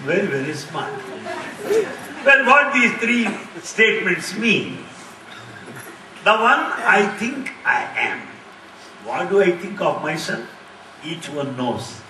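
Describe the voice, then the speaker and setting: A middle-aged man answering audience questions in a lively talk, repeating key phrases and laughing often. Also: a little high. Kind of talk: lively lecture with jokes. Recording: echoey hall, distant mic, faint hiss.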